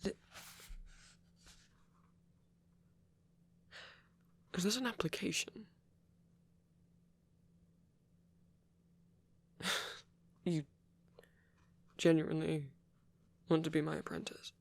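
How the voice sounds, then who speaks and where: A performer playing a gentle, protective male vampire priest in an intimate ASMR roleplay, speaking softly and haltingly, often in a whisper. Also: medium pitch. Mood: touched, reassuring. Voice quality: soft, breathy, often whispering. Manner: hesitant, tender, halting pauses.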